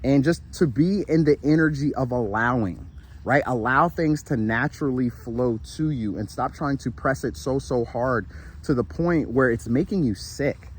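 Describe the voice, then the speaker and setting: A man's voice: mid-range voice, moderate pace, breathy edge. Earnest man vlogging on a walk outdoors. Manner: earnest, repetitive, with frequent "right?" and restarts; walking while he talks. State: tired and sweating. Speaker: spiritual motivational vlogger. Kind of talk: casual encouraging monologue. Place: outdoors in a shaded park.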